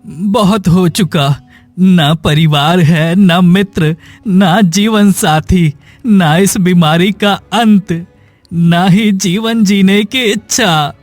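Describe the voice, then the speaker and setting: A man plays a poor, sick hunchback in a cartoon tale. His high voice drags slowly with plaintive despair. The delivery is melodramatic, with faint music underneath. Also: no room sound. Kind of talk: dramatic Hindi cartoon story dialogue.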